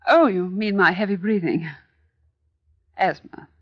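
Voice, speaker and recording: A woman's voice: medium pitch, a little slow, dry recording, lo-fi sound, lightly acted. Actress as an earnest, daring woman, closely recorded. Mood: flustered, anxious. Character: earnest, daring woman resistance helper, outwardly meek. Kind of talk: old-time radio drama acting.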